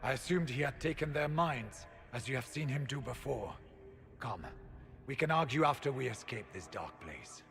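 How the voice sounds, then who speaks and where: A man playing an earnest, grave warrior of a fantasy order, speaking with measured care in a game cutscene. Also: medium pitch, expressive, vocal fry, a bit bright. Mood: grave, thoughtful. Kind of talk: video game cutscene acting.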